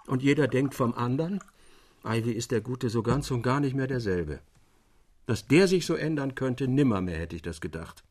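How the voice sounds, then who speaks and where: A man's voice: mid-range voice, darkish tone, slight background noise, close to an everyday voice. An actor playing a wistful, disenchanted man of letters in a German radio drama, speaking in a theatrical, declamatory manner.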